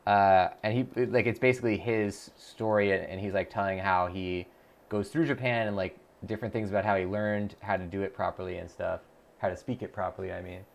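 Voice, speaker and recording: A man's voice: lowish voice, fairly even intonation, a little fast, vocal fry. A man on a live stream, chatting casually, thinking aloud with lots of fillers and restarts, and reading viewer messages aloud to answer them. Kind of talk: casual live-stream chat while practicing a language app.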